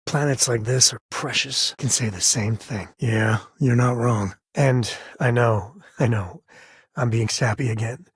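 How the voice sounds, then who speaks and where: An actor playing a laid-back, sardonic space ranger and devoted father in a video game, with a folksy, wry way of talking and a husky, gravelly voice. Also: medium pitch, unhurried, dry recording, studio quality. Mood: tender. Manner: folksy, wry, drawling informal speech. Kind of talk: video game companion dialogue.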